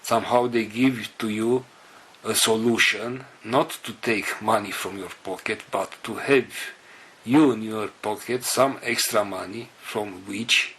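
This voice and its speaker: Very slow, low-voiced earnest man. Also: a bit bright, breathy edge. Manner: halting, earnest, phrases broken by pauses. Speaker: spiritual group leader. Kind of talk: spiritual address to group members.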